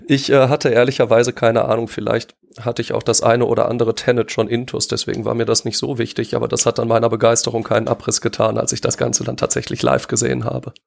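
A man's voice: medium pitch, little pitch movement, brisk, vocal fry. A man, a rugby player, describing his start with enthusiasm and self-irony. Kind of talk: casual interview answers.

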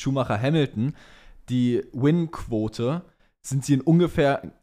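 A man's voice: medium pitch, darkish tone. Man chatting casually as podcast co-host. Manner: mixes English words and phrases into German. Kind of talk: casual chat about motor racing.

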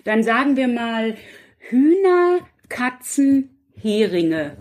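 A woman's voice: fairly high pitch, very animated, slow pace, a little mellow. A woman speaking as a guest author in a casual conversation, chatty and self-deprecating. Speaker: guest author and quiz writer. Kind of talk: casual chat.

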